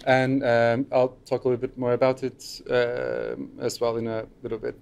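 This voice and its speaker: A man's voice: medium pitch, flat delivery, normal speed, vocal fry, darkish tone, a little hiss or hum. A middle-aged man from Turkey giving a conference talk at a lectern, loose and unscripted, with frequent fillers. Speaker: conference speaker. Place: lecture room, at a lectern.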